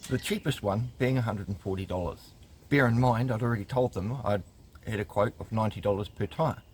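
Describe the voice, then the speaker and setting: A man's voice: fairly low pitch, brisk, a little mellow, slight background noise. A man, telling a customer complaint story in a wry, exasperated way and reading emails aloud with lots of ums. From New Zealand. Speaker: consumer rights vlogger.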